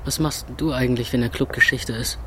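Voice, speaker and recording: A man's voice: medium pitch, steady tone, fairly quick, noisy background, lightly acted. A man playing a gloomy, sarcastic young music club owner in a radio play, speaking colloquially and brooding. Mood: weary. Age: young adult. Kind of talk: radio play acting and narration. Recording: music behind the voice.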